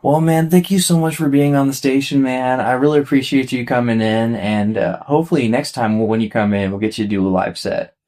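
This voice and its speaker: A man's voice: mid-range voice, a little fast, hardly any pauses, a little mellow. A male college radio host with a mid-range voice. He talks in a casual, laid-back way, as in an on-air interview. His wording is informal and friendly in register. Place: radio station studio.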